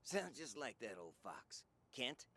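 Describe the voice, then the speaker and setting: Brash male gangster, scornful, lively intonation, medium pitch, audio drama. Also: slow pace, heavily acted. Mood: scornful, sly.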